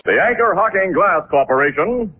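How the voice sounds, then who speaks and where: A man's voice: high-pitched, lively intonation, moderate pace, slight background noise. A man announcing for a sponsor on a 1940s radio broadcast, in an old recording. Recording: tinny 1940s radio broadcast, music behind.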